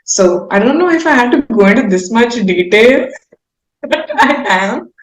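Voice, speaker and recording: A woman's voice: fairly high pitch, lively intonation, measured pace. Indian woman chatting candidly, roomy sound. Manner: rambling, informal, self-aware. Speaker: singer-songwriter being interviewed. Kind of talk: casual, candid chat. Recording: roomy echo.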